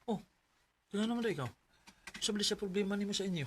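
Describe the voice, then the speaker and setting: A man's voice: high-pitched, fast talker, a bit bright, a little hiss or hum. A man with a Cebuano Filipino accent playing a disgruntled, protective young man in a radio drama. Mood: aggrieved.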